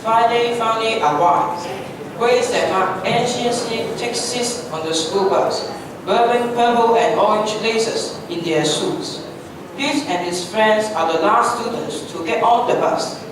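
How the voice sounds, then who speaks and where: A woman's voice: fairly high pitch, measured pace. A woman reading scripted narration for a readers' theatre story. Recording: very echoey room, distant mic, noisy.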